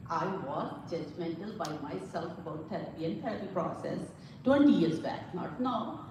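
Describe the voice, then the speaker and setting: A middle-aged woman from Pakistan, a native Punjabi speaker with a Pakistani accent, giving an earnest, informal talk as a psychologist to a live audience. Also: fairly low pitch. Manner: earnest, repeats points, mixes in Urdu phrases. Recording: echoey hall from far off with room noise.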